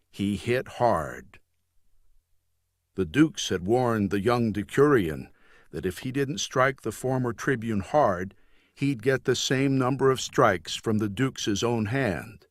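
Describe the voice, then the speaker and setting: A man narrating scripted fiction as an audiobook reader, with grave, measured storytelling. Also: mid-range voice, dry recording, studio quality.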